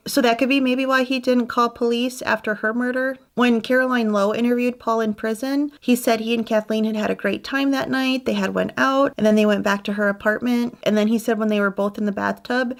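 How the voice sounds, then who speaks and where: A woman narrating a true crime story in a conversational way, with musing personal asides. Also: on the high side. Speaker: true crime channel host.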